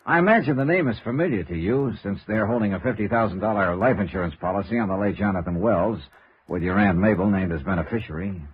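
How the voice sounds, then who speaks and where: A man's voice: fairly low pitch, fast talker, husky. An American man playing a tough, shrewd insurance investigator in a 1950s radio noir drama, clipped and sardonic. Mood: sardonic, pressing. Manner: clipped, sardonic, hard-boiled. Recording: muffled, noisy 1950s radio recording.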